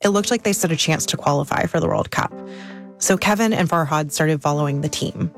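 Woman narrator, low voice, measured scripted storytelling, soft music underneath. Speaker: podcast reporter and narrator.

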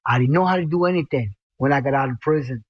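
A man in his fifties from Cuba, telling his story in a plainspoken, halting way, leaning on "you know" and "man.". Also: mid-range voice. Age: 50s. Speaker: interviewee. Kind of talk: recorded interview tape. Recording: muffled tape with music underneath.

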